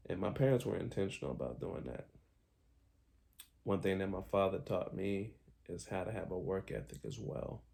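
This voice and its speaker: Young man, born in Haiti, deep voice with heavy fry, candid confessional host. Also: animated, moderate pace, warm tone. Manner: frequent ums, candid, self-correcting. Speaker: podcast host telling his own story. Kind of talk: reflective personal storytelling.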